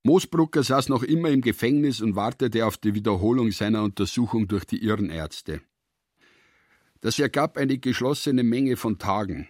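A man narrating literary radio drama in a measured, sober manner. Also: mid-range voice, a little mellow, no echo, studio quality.

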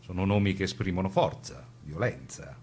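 A man delivering scripted dramatic documentary narration for radio in a measured, theatrical way. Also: sits low, darkish tone, slight background noise.

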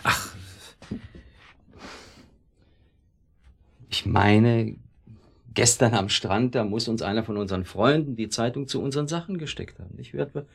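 A man acting a middle-aged, irritable and evasive husband in a German radio play, speaking in a sardonic, halting way. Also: mid-range voice, creaky, husky. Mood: evasive, placating.

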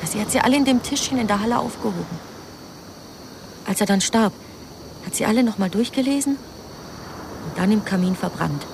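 A woman's voice: medium pitch, a little fast, creaky, pauses often, noisy background, close to an everyday voice. An actress playing a resentful young woman confiding secrets hesitantly in a radio crime drama. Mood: confiding, bitter.

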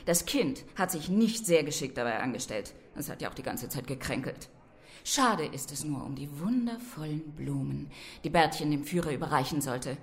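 A middle-aged shopkeeper's wife in a radio play, nervous and eaten up with envy. Her lowish voice swings widely up and down as she gushes and makes catty small talk. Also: expressive, moderate pace. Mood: envious, catty. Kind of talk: radio drama acting.

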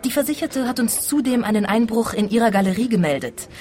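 An actress playing a brisk, guarded young insurance investigator in a radio play, businesslike and matter-of-fact. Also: female voice, a little high, animated, a little mellow, natural voice. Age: young adult.

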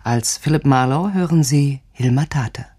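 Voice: medium pitch, unhurried, mellow, slightly rough. An announcer reading a scripted introduction to a radio drama.

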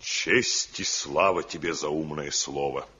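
A solemn, reverent village master in an old radio drama. His very deep voice is husky yet forceful and unhurried in its ceremony. The recording is lo-fi. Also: heavily acted. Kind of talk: Soviet radio drama acting. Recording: dull lo-fi 1970 radio recording.

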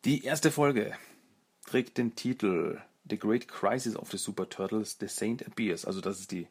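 A man with a fairly low, husky voice delivers a casual solo review as the host of a fan show. He is self-deprecating and feels his way through his sentences, thinking aloud. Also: moderate pace, a little mellow.

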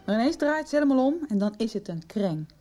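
An actress playing a defiant, sharp-tongued daughter in a 1990s radio soap. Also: female voice, fairly high pitch, big pitch swings, fast. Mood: annoyed, pleading.

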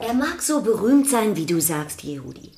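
A woman's voice: medium pitch, very animated, normal speed, a little mellow, roomy sound, soft background music. An actress playing a strict, sceptical sister of a musician in a German radio play, dry and pointed in her questions.

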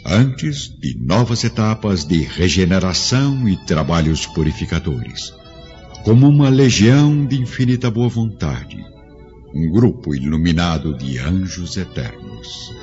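A male narrator with a very deep voice tells a devotional radio drama, speaking very slowly and solemnly. A clear orchestral score plays under him, and the sound is slightly muffled. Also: very animated, a little mellow, soft background music.

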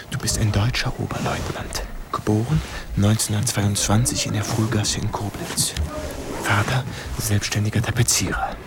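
An actor playing a man who recounts his undercover wartime past in a radio drama, measured, wary and confiding. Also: fairly low pitch. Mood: self-steeling, sombre. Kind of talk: radio drama first-person narration.

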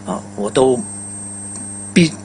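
A man's voice: mid-range voice, expressive, slow pace, a little mellow, slight background noise. An older man, a veteran radio drama performer, reminiscing in a casual interview with exclamations and repeated phrases.

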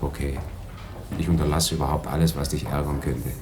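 An actor playing a cheeky, flirtatious escaped convict in a radio play, breezy and colloquial. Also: male voice, rumbling low, flat delivery, brisk, background noise. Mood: casual, scheming. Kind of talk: 1980s German radio play acting.

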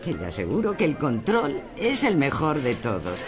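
A man's voice: medium pitch, huge pitch swings, normal speed. Man playing a smug, swooping Castilian lady shopper. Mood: preachy, insistent. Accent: Castilian Spanish. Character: docile, smug female shopper devoted to consumerist control. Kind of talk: surreal radio drama dialogue. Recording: music under the dialogue.

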